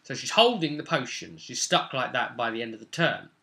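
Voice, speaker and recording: Male game master, medium-pitched and very bright, animated and informal, retelling a tabletop session off the cuff. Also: fairly quick. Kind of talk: casual unscripted recap narration.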